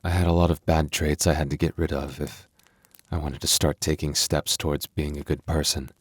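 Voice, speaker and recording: A man voicing a gentle young male werewolf boyfriend in an intimate ASMR roleplay, speaking softly and earnestly with hesitant stammers. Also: extremely low pitch, level tone, normal speed, husky, dry recording. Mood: regretful, vulnerable.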